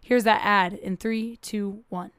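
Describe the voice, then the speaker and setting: Hoarse, upbeat woman podcast host. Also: medium pitch, steady tone, takes it slowly, a bit bright, no room sound. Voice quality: upbeat, chatty. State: hoarse, with a cough. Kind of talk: casual podcast chat.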